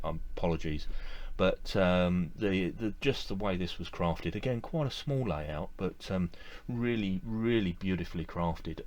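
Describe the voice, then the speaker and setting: A middle-aged man with a deep, low voice talks straight to the camera from an indoor room lined with display shelves. He rambles in an easygoing, enthusiastic way, full of fillers and repeated words. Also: darkish tone. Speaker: model railway collector vlogger. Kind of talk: casual to-camera review.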